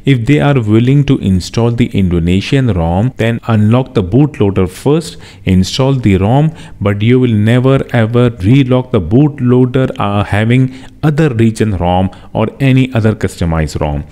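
A man's voice: medium pitch, normal speed, mellow. A man narrating a step-by-step tech tutorial as its host, in an instructional way that repeats key steps.

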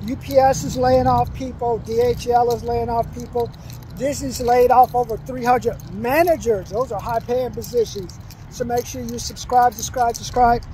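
A man's voice: very high voice, steady tone, moderate pace, dark tone, a little hiss or hum, rough recording. A man in his fifties walking outdoors, giving urgent, repetitive advice into a phone at arm's length. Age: 50s. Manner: preachy, repeats himself, urgent advice. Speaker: vlogger. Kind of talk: walking selfie vlog. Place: outdoors by a commercial complex, trees and sky. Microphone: phone held at arm's length while walking.

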